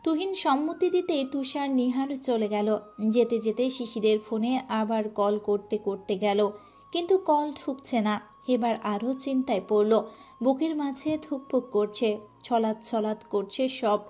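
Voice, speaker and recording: A woman's voice: a little high, a little slow, dark tone. Woman narrating an emotional story.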